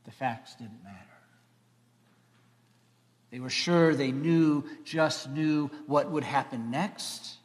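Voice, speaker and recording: Slow, emphatic male preacher in reverberant church. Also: medium pitch. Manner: emphatic, swelling and trailing phrases. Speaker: pastor. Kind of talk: co-preached sermon, dramatic storytelling. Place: large church sanctuary, seated at front. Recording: echoey church hall, long reverb.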